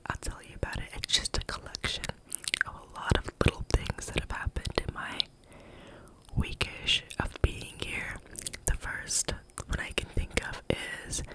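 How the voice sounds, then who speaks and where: A young adult whispering a rambling story in a casual way, full of fillers like "like" and "um". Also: poor audio. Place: staying on a chocolate farm in Hawaii.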